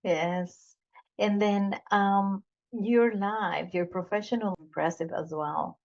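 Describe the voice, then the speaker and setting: Woman hosting a podcast, talks real slow, kinda muffled sound. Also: mid-range voice. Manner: deliberate, with fillers and repeats. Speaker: podcast host. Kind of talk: interview hosting. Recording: dull lo-fi sound.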